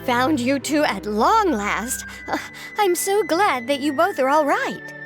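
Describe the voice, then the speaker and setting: A woman's voice: high voice, animated, measured pace, music in the background. A woman playing an earnest, worried, gossipy townswoman in a video game, with emphatic, dramatic storytelling. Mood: relieved. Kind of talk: video game character acting.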